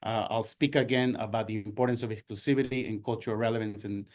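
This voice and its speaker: A man's voice: fairly low pitch, fairly even intonation, fast talker, darkish tone. A man in his forties, born in Ecuador, presenting a workshop in an earnest way with frequent fillers and restarts. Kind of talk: presentation talk.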